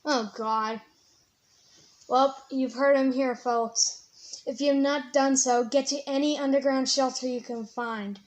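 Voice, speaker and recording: A woman's voice: sits high, steady tone, slow pace. A woman playing a radio news anchor in an amateur emergency drama, with an urgent announcer manner. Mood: grave, urgent.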